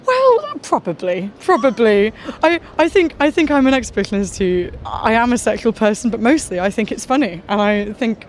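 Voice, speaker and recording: A woman's voice: a little high, very animated, normal speed, hardly any pauses. Brief: chatty female performer from northern England, giggly and frank, light noise behind. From around Liverpool, northern England. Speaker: spoken word comedian and cabaret host. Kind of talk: street interview. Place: outdoors on a busy street.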